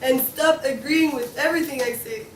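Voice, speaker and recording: Girl as bossy pig juror, emphatic, really high voice, sounds far from the mic. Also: normal speed, natural voice. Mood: snappy. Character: bossy, suspicious pig juror who distrusts wolves. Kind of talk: student table read of a comic play. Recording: echoey room, distant mic, faint noise.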